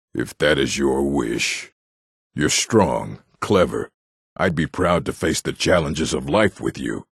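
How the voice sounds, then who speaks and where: A man voicing a gruff, proud orc warrior in a fantasy video game, with a gravelly, husky voice and a terse, blunt delivery. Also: rumbling low, dry recording, studio quality, heavily acted.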